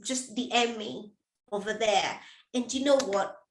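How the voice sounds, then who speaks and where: A middle-aged woman with a high voice speaks slowly and deliberately as a coach, encouraging her listeners and repeating key phrases. Her voice rings out in an echoey room. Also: a little hiss or hum. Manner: encouraging, repetitive, direct address to listener. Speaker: menopause coach and host. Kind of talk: live motivational talk to viewers.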